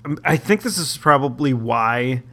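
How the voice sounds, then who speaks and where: Male co-host, bright voice, faint Canadian accent, irreverent and giggly. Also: medium pitch, animated, moderate pace. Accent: slightly Canadian-sounding. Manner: joking, swears, laughs often. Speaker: podcast co-host. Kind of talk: casual chat.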